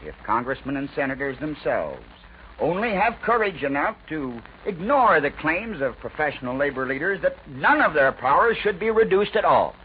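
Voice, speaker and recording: A man with an American accent delivers a scripted 1940s radio editorial in an oratorical, emphatic way, with deliberate rhetorical pauses. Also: fairly high pitch, sing-song. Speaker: radio news commentator. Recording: dull, noisy 1940s radio recording.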